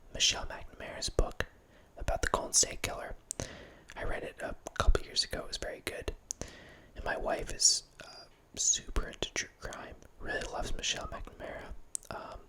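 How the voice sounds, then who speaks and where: A man in his thirties hosting a whispered ASMR ramble, speaking softly right into a close studio microphone in a small home room, with lots of self-corrections. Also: very high voice. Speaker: ASMR channel host. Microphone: close studio microphone, wearing headphones.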